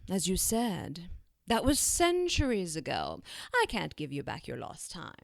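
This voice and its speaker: An ancient, cruel witch in an audio drama. Her pitch swoops widely as she taunts and mocks, taking her time over each phrase. The sound is dry and close. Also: wildly swooping pitch, vocal fry, no room sound, exaggerated voice. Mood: cool, dismissive. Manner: taunting, theatrical, drawn-out. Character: cruel, mocking, centuries-old witch who curses enemies.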